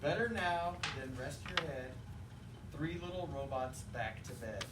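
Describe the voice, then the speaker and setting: A man reading a picture book aloud to children as their teacher, in a playful, rhythmic way with pauses for them to join in. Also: a little high, lively intonation. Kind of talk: expressive children's read-aloud. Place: indoor classroom, recorded from a distance. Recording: distant, echoey, noisy room recording.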